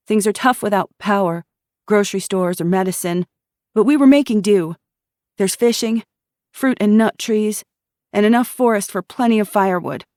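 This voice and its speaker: A woman's voice: mid-range voice, normal speed, dry recording, clean studio sound, pitched above the performer's own voice, natural voice. A woman narrator voicing a young refugee woman, a nursing student who tells steadily of her town being attacked. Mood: weary. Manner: weary, steady.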